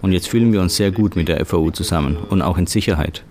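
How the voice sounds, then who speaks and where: A man reading a German voice-over translation of an interview in a steady, measured way. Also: sits low.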